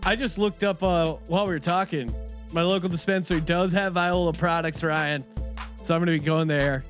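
A man's voice: a little high, fairly even intonation, fairly quick, soft background music. A man hosting a casual podcast chat, upbeat and laughing easily. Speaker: podcast host.

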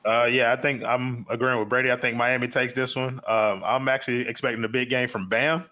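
Very bright-voiced man, flat delivery. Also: medium pitch, fairly quick. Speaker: sports podcast panelist. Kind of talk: casual sports chat.

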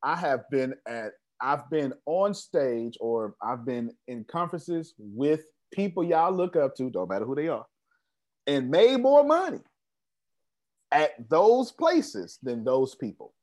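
Boastful, animated male business coach. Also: mid-range voice, unhurried. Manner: boastful, preachy, calls on attendees by name. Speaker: business coach hosting an online meeting. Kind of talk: animated motivational group call.

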